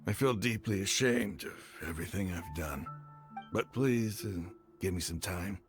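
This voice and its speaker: A man playing a proud, embittered desert mercenary leader in a video game, with dramatic, halting, emotional delivery. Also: low voice, faint music behind. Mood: weary, contrite.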